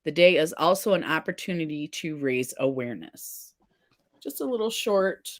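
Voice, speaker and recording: A woman's voice: low voice, lively intonation, moderate pace, dry recording, studio quality. A woman hosting a casual livestream, chatting and reading viewer comments aloud. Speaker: livestream face paint host.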